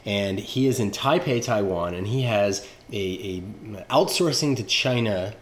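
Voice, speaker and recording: A man's voice: fairly low pitch, measured pace, roomy sound. A man co-hosting a podcast, chatting casually and joking with his guests. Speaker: podcast co-host. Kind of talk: casual chat.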